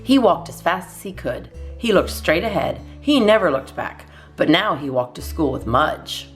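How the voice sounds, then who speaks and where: Woman reading a storybook, very lively intonation. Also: deep voice, moderate pace, faint music behind. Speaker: storytime host reading a children's book aloud. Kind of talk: storybook narration for children.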